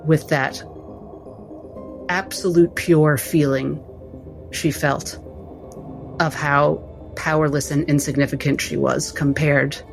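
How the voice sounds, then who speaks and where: A woman playing a tabletop roleplaying game, describing her character's actions in measured, intense, halting phrases. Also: deep voice. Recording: voice over background music.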